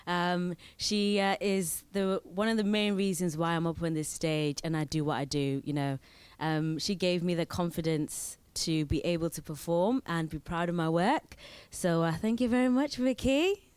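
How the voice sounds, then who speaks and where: A woman's voice: lowish voice, fairly even intonation, normal speed, darkish tone, smooth, dry recording. A woman from Zimbabwe who is a spoken word performer, reading her poems on stage with short spoken introductions. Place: indoor event venue.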